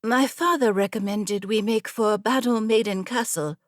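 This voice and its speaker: A female narrator acts out a haughty young noblewoman from an audiobook adventure. She is proud and formal, with an imperious, clipped delivery at a middle female pitch, recorded very dry. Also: normal speed, dry recording, close to an everyday voice. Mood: proud, determined. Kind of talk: audiobook character dialogue.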